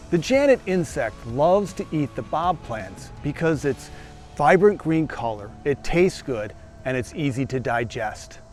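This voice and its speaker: A man hosting a gardening show, giving steady, scripted, explanatory narration. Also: mid-range voice.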